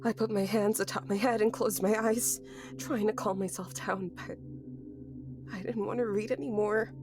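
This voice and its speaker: A woman's voice: mid-range voice, fairly even intonation, normal speed, natural voice. An actress playing a grieving, anxious young woman, narrating a horror audio drama in an introspective, bitter, sweary manner. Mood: panicked, desperate. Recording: music behind the voice. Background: music.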